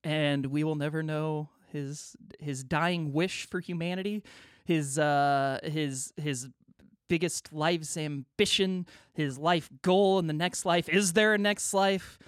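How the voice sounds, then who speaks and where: A man's voice: fairly high pitch, steady tone, slow, smooth, no room sound, clean studio sound. Jokey rambling podcast co-host, man. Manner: jokey, rambling, trails off into asides. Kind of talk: casual podcast banter.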